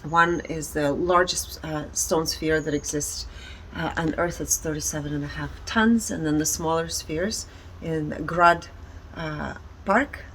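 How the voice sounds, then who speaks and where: Low-voiced woman presenting, with background noise. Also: measured pace, fairly bright tone, low-quality recording. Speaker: speaker presenting energy readings. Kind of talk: conversational explanatory presentation.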